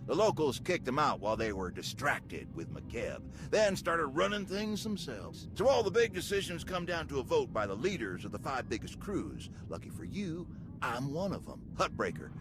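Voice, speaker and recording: Folksy veteran engineer, lively delivery. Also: male voice, on the high side. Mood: frank, explanatory. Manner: folksy, plain-spoken. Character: folksy, gruff veteran male station engineer. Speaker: chief engineer. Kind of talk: video game dialogue. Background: music.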